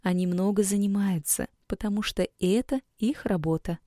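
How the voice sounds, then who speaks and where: Soft-spoken woman coaching her listener. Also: mid-range voice, moderate pace, dry recording, studio quality. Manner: soft, coaxing, addresses listener directly. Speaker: women's school coach. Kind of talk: scripted instructional narration.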